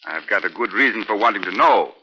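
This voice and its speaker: An actor playing a sly, scheming creditor villain in 1940s radio drama style. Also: male voice, fairly low pitch, lively intonation, quick pace, sharp tone, dull audio. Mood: smug, needling. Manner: sly, taunting. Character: smug scheming male creditor, needling villain. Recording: vintage 1940s radio, faint noise.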